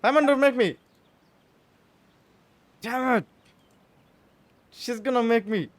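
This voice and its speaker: A man's voice: very high-pitched, slow pace, slight background noise. A man doing casual, exclamatory gameplay commentary, repeating himself and talking back to the characters. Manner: exclamatory, repetitive, talks to the game characters. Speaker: gaming streamer.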